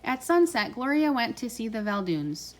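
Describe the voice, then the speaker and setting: A woman's voice: a little high, very animated, moderate pace. A woman reading a children's storybook aloud as a parent reading with a child.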